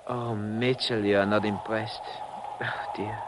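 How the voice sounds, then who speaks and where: An actor playing a fussily polite, self-pitying little gang boss with quiet menace in a 1950 radio thriller. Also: male voice, fairly low pitch, little pitch movement, measured pace, lightly acted. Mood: mock-pleading. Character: meek-sounding, mock-apologetic little villain, coolly murderous gang boss. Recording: noisy old 1950 radio recording.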